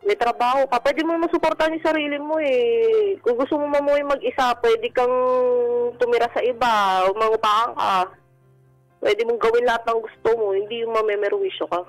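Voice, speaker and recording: A woman's voice: a little high, moderate pace, rough recording. Plainspoken woman caller with music behind. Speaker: caller to a radio advice show. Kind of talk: personal appeal in everyday speech.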